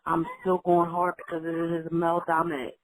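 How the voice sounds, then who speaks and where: A woman from Philadelphia with a Philadelphia accent, guesting on a podcast as a rapper. She answers in a relaxed, halting way and restarts often. A faint hiss sits behind her voice. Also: lowish voice. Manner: laid-back, halting, plain-spoken. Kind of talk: casual interview.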